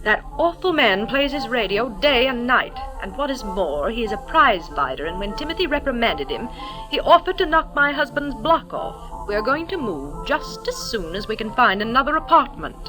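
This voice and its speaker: A woman's voice: a little high, very animated, normal speed, poor audio. A woman playing a prim, indignant wife with precise, formal diction in 1940s radio style. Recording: old radio recording with music behind.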